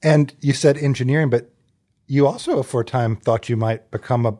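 A man's voice: mid-range voice, normal speed, mellow. A man hosting an interview, asking questions in a conversational style. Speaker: interviewer, show host.

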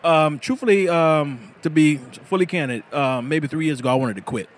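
A man's voice: a little high, normal speed. A man, a bladesmith chatting casually in an interview at an outdoor festival, laughing often and repeating words as he starts sentences. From South Carolina. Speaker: bladesmith guest.

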